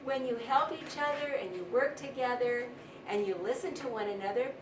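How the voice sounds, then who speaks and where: A woman's voice: fairly high pitch, moderate pace. A woman teaching young children in a read-aloud lesson, asking questions and prompting them to repeat words. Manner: didactic, asks questions and prompts repetition. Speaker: primary school teacher. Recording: roomy, distant mic, faint noise.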